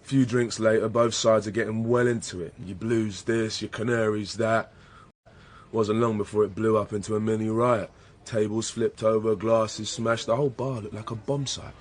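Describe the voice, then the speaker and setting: A man's voice: fairly low pitch, steady tone, moderate pace, close to an everyday voice. A British man playing a sceptical, impatient younger police detective in a radio drama, blunt and colloquial. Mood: matter-of-fact. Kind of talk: naturalistic radio drama dialogue.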